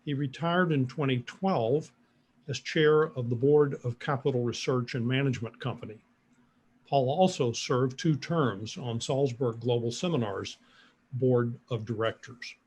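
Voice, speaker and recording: Male moderator opening a panel, husky voice, kind of rambly. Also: medium pitch, measured pace, darkish tone. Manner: loose, lots of fillers. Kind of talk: panel discussion.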